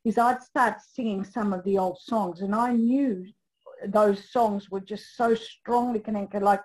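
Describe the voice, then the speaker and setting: A woman's voice: medium pitch, fairly even intonation, measured pace, a little mellow, low-quality recording. A Greek-born woman with a mid-range voice, Greek her first language, speaks through a remote call. She talks in a loose, reflective way, often restarting her sentences. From Greece. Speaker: aged care professional and family carer. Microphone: remote call without camera.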